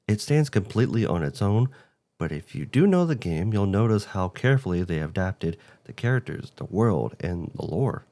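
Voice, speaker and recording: A man's voice: lowish voice, sing-song, moderate pace, mellow. A man co-hosting a video game show, reading a scripted presentation. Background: background music.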